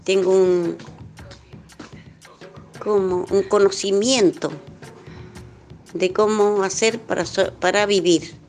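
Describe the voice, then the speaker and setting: A mature woman with an Argentine accent giving reflective personal testimony in an earnest, halting way. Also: on the low side. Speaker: interviewee, worker rights activist.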